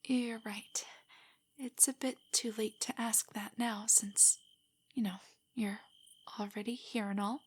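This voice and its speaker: A woman playing a shy, nerdy young girlfriend in an intimate audio roleplay, soft and breathy, stammering with nerves. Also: a little high, fairly even intonation, slow. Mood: nervous, shy. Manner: nervous, stammering, hesitant rambling. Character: shy, nerdy, easily flustered young adult girlfriend on a date.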